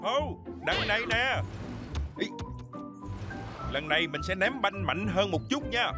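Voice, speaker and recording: A man's voice: high voice, expressive, measured pace. A man voicing a young, boyish, anxious and caring vehicle character in a children's cartoon, earnest and exclamatory. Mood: playful. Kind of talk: dubbed children's cartoon acting. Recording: music loud behind the voice. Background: loud cartoon music.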